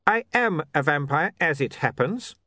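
Narrator voicing a prim, eager vampire in an audiobook; raised, bright, very dry read. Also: male voice, a little high, animated, moderate pace, no room sound, pitched above the performer's own voice. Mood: indignant. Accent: Uberwald. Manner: formal stiff phrasing, earnest. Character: eager prim male vampire photographer, young.

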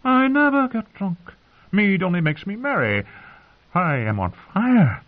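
A man's voice: high voice, big pitch swings, measured pace, phone-quality sound, pitched above the performer's own voice. A man voicing a lecherous, tipsy young singer who courts in florid, wheedling phrases in an audiobook story. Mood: oily, amorous.

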